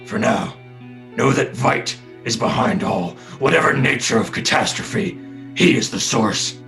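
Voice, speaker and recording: A man playing a grim masked vigilante who narrates his final journal in terse, clipped fragments with a gravelly voice. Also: medium pitch, a little slow, a bit bright. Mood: grim, resolute. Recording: music behind the voice.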